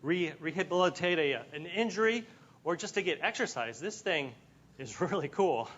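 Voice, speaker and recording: A man's voice: on the high side, expressive, moderate pace, a bit bright, lo-fi sound. An enthusiastic man chatting casually as a doctor on a TV show. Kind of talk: casual studio chat.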